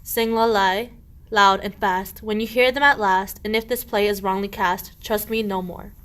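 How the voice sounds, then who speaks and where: A woman's voice: medium pitch, fairly even intonation, moderate pace, fairly bright tone, clear, resonant tone, natural voice. Lady doing a bossy scheming peasant wife, scolding in rhyme, old comic radio play. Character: sharp-tongued, cunning, put-upon peasant wife. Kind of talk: verse radio play acting of a medieval comedy.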